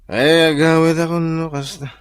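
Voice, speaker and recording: A man's voice: on the high side, level tone, moderate pace, clean tone, no room sound, studio quality, close to an everyday voice. A man playing a silly, cowardly, hard-drinking husband in a comedic radio drama, whiny and exclaiming, with an Ilocano accent. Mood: muddled, reluctant.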